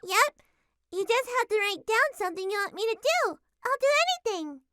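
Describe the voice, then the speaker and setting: A woman's voice: extremely high pitch, animated, a little fast. A woman voicing a lonely, timid young daughter in a manga dub, with a whiny, hesitant way of talking. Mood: eager. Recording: noticeable background noise.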